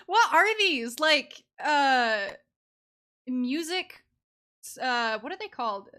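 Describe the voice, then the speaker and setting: A woman streaming a video game with casual live commentary, giggly and excitable in her reactions. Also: high-pitched, extremely slow.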